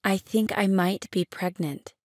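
A woman reading short English phrases for a language lesson, saying each one three times, slowly and clearly. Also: medium pitch, no echo, studio quality. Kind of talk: slow repeated phrase reading.

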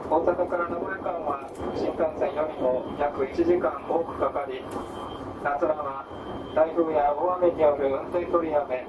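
Male conductor, formal and courteous, slightly high-pitched, heard from off over onboard speakers in heavy noise. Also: fairly even intonation, unhurried. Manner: polite, formal. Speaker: train conductor. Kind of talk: formal onboard train announcement. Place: inside a moving train carriage, over speakers. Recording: noisy distant train PA, poor audio. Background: loud train and ventilation noise.

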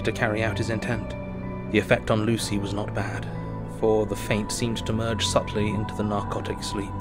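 An actor playing an earnest, anxious asylum doctor, narrating his diary in measured, literary audio drama style. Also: on the low side, natural voice. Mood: solemn.

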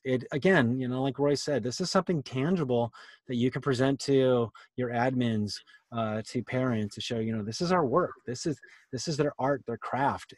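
A man's voice: medium pitch, no echo. A middle-aged man presenting informally on a video call in an encouraging, conversational way. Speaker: workshop presenter. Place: indoor room on a video call. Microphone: computer mic on a video call.

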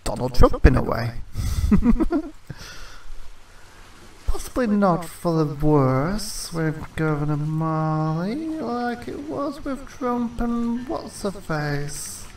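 Lively, creaky-voiced let's play host. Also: medium pitch, slow pace, distant mic, slight background noise, low-quality recording. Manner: chatty, playfully reads lines aloud. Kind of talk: casual game commentary.

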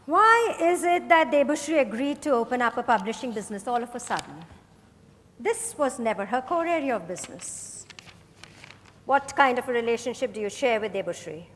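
A woman acting a high-voiced, commanding female investigator. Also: expressive, a little fast, fairly bright tone. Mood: stern, accusing. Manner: pointed, insistent questioning. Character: probing, commanding female investigator interrogating suspects. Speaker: stage actor. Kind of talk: dramatized play reading on stage. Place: large hotel ballroom stage. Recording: echoey hall from far off.

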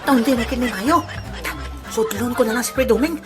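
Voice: medium pitch, a little fast, loud music behind the voice, lightly acted. A performer playing a nosy, easily shocked villager with a Filipino accent in a comic audio drama, muttering hesitant asides. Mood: suspicious, flustered. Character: Albedo, nosy, easily shocked village man eavesdropping on friend.

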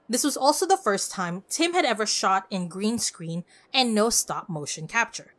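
A woman podcast host giving conversational scripted film commentary in an expressive, effusive way. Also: medium pitch.

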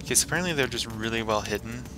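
A man's voice: medium pitch, very fast, music in the background. A man hosting a gaming video, rambling casually and talking to himself as he plays. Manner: rambling, talks to himself while playing. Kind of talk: casual let's play commentary.

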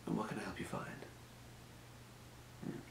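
A man playing a calm fantasy potion shopkeeper in a breathy, measured, courteous whisper for an ASMR role play. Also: reverberant, noisy background, close to an everyday voice. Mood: calm, helpful. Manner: measured, murmuring, courteous merchant patter. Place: small indoor room.

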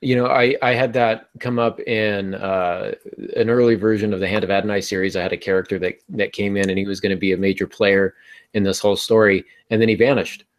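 Podcast host, male, middle-aged, low voice, casual and a little hesitant, recorded on a video call. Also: fairly even intonation, brisk. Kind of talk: casual writing-advice discussion. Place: small home room, on a video call.

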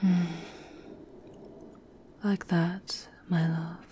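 Woman acting a slow, sultry vampire countess. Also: on the low side, level tone, dark tone, a little hiss or hum. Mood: amorous. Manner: sultry, intimate, aristocratic. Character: seductive, possessive vampire countess lover. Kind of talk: ASMR roleplay.

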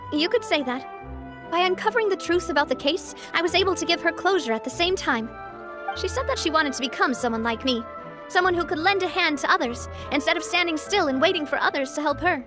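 An actress playing a gracious, confident young lady boss in a video game, elegant and sincere, with music behind her. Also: high-pitched. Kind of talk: video game character acting.

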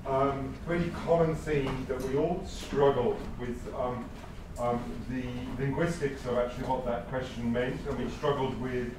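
A man with an Australian accent summarising his table's discussion in a loud, searching way, often restarting his sentences, recorded from far off in a large conference room. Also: medium pitch, fairly even intonation, a little slow. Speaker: table spokesperson. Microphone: far room mic, no microphone. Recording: echoey room from far off, noisy.

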